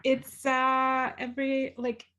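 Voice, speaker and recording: A woman's voice: high voice, completely flat delivery, slow pace, sharp tone, dull audio. A woman hosting a podcast interview, chatting casually with many "like" fillers. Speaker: podcast host.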